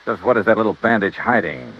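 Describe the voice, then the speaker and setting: An actor playing a tough, driven insurance investigator in an old radio detective drama, with a clipped, forceful delivery. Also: male voice, lowish voice, expressive, quick pace, breathy edge. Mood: suspicious, hard. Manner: clipped, hard-boiled, forceful. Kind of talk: 1950s radio detective acting with first-person narration. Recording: muffled, noisy vintage radio recording.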